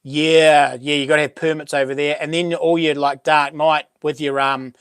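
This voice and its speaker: A middle-aged man with a New Zealand accent and a boomy voice, hosting a relaxed livestream chat, rambling with many ums and restarts as he reads out viewer comments. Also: normal speed, clean tone, dry recording, studio quality. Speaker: livestream host. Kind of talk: casual live chat reading comments.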